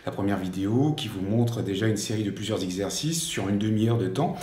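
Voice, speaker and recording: Mellow-voiced man in his fifties, very echoey. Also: fairly low pitch, a little fast. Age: 50s. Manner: gentle, reassuring, repeats advice not to strain, frequent hesitations. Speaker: life coach leading a strength workout. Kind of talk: exercise instruction video. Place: room at home with an exercise mat. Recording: very echoey room, voice far from mic.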